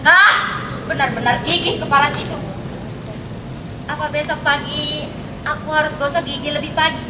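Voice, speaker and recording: A woman's voice: extremely high pitch, moderate pace. A young woman playing a flustered, indignant married lady in a stage drama, exclaiming theatrically. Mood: flustered, dismissive. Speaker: student stage actor. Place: outdoor car park stage. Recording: distant echoey stage recording with loud background noise.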